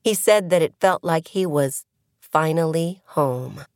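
A woman narrating a scripted essay as an audiobook reader, with measured, deliberate phrasing and pauses. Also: deep voice, dry recording, studio quality.

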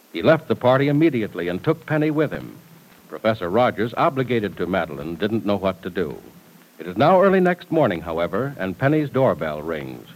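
Male old-time radio announcer: highly varied pitch, folksy, emphatic sponsor-pitch delivery. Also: rough recording. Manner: folksy, emphatic, theatrical salesmanship. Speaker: announcer and narrator.

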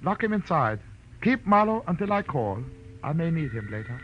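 An actor playing a smug, scheming crime boss in a vintage radio drama, silky and gloating with theatrical politeness. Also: male voice, fairly high pitch, sing-song, normal speed. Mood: commanding. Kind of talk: 1940s radio drama acting. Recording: dull, noisy vintage radio recording.

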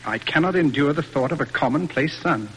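A man's voice: on the high side, expressive, a little fast, fairly bright tone. An actor playing a stern, exasperated father in a formal, emphatic 1940s radio drama style. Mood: exasperated resolve. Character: exasperated stern father disappointed in his son. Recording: noisy old radio broadcast recording.

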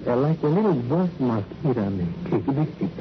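Excitable travel agent, Spanish accent, very lively intonation, indignant manner, muffled vintage radio recording. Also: male voice, mid-range voice, vocal fry. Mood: insistent. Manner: emphatic, protesting, halting foreign phrasing. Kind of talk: classic radio crime drama acting. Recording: dull old radio recording, faint noise.

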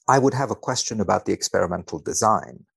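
A man who is a guest researcher on a podcast, reading and commenting on a study in a precise, measured, dry manner. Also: lowish voice, creaky, husky.

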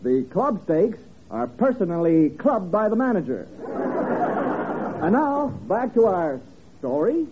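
A narrow, noisy old broadcast with an audience carries a folksy pitchman. His high voice delivers earnest spoof sales patter in vintage radio comedy style. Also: sing-song, measured pace, long pauses, a crowd in the background. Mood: earnest selling. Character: folksy tavern pitchman reading spoof adverts.

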